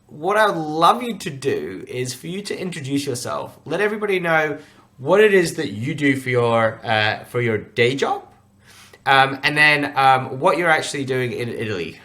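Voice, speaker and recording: An upbeat man hosting a podcast, chatting in a relaxed, casual manner and leaning often on "um" and "look". His voice sits at an ordinary pitch for a man, and he sounds like he is on a remote call. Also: normal speed. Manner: upbeat, chatty, with many fillers. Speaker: podcast host. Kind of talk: casual interview chat.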